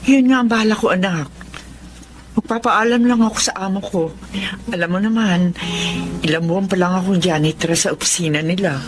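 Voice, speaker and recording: An actress playing a caring, worried working-class mother in a Filipino radio drama, gentle and motherly. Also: lowish voice, normal speed, long pauses, music in the background, close to an everyday voice. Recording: faint background noise.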